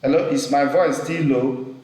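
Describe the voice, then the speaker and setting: Man, instructor, video call mic, unhurried with repeats, echoey room. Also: mid-range voice, fairly even intonation, darkish tone. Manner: slow, repeating himself, pausing to check the chat. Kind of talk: live online class teaching. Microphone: computer mic on a video call.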